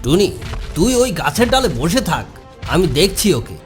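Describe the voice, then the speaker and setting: A woman's voice: on the low side, sing-song, a little slow, faint music behind. A woman voicing a big, bossy monster in a children's cartoon, scolding and teasing yet protective. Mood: stern. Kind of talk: children's cartoon character dialogue.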